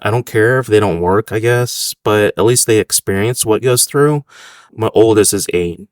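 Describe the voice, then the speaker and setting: A man's voice: on the low side, moderate pace, no echo, studio quality. A man in his thirties from the United States, a restaurant owner chatting casually in an interview, rambling with fillers.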